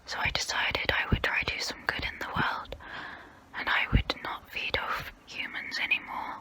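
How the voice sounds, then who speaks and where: A woman playing a centuries-old female vampire, guilt-ridden and gentle yet predatory, in an intimate, breathy, halting ASMR role-play whisper. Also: rough recording. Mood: remorseful. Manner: slow, halting, intimate, soothing. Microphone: close-up microphone.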